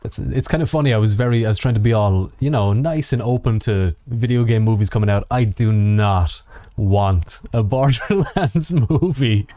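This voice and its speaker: Mellow, dry-sounding male podcast co-host. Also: fairly low pitch, normal speed, dry recording. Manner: wry, sceptical, blunt. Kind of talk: casual podcast chat about games and films.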